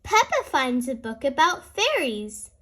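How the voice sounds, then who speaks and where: A woman's voice: sits high, very animated, a little slow, bright, forceful tone. A woman storyteller reading aloud expressively to young children. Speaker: storyteller, host.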